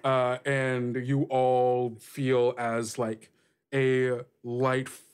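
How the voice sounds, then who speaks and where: A man running a tabletop game as game master, improvising narration and pausing as he searches for words. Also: mid-range voice, completely flat delivery, smooth. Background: music.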